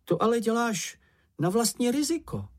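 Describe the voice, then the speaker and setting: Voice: mid-range voice, big pitch swings, darkish tone, voice pushed up. A narrator voicing a small, timid male animal who frets and complains in a frightened, whiny manner. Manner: fretful, anxious, complaining.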